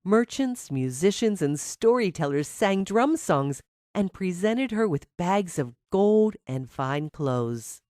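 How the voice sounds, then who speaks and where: Female storyteller for children's fairy tales: low register, very animated intonation, dry close sound. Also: slow pace, dry recording. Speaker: storyteller, narrator. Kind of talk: scripted children's fairy tale narration.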